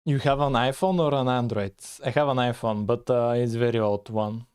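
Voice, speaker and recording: Mellow man streaming. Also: medium pitch, normal speed, clear, resonant tone. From Bulgaria. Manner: relaxed, thinking aloud with frequent "uh". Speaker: live-streaming game developer. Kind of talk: casual live coding stream with chat.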